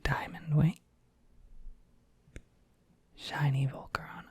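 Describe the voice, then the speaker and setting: An ASMR creator talks in a very breathy, hushed whisper. The delivery is soft and gentle in volume, recorded dry and close, in the style of a quiet thank-you to supporters. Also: mid-range voice, steady tone, slow pace, dry recording.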